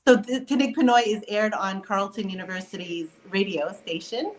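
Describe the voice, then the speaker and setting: Woman guest chatting, medium pitch. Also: brisk, a little hiss or hum, rough recording. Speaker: talk show guest, clinic entrepreneur. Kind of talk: casual conversational interview.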